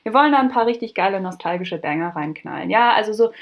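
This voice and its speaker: A woman's voice: medium pitch, expressive, quick pace. A woman in her twenties co-hosting a podcast, joking with self-mocking irony and laughing often. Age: 20s. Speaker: podcast co-host. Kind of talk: casual chat.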